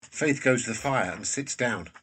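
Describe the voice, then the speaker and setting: A man's voice: mid-range voice, normal speed, fairly bright tone, slightly rough, rough recording. A man reading scripted stage directions as the narrator of a drama.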